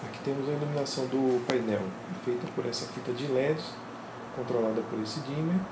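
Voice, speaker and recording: Calm man demoing a home cockpit. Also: mid-range voice, brisk. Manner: calm, methodical, pointing things out. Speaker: flight sim hobbyist. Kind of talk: casual show-and-tell narration. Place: home simulator room, indoors. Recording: roomy, with loud fan and engine noise.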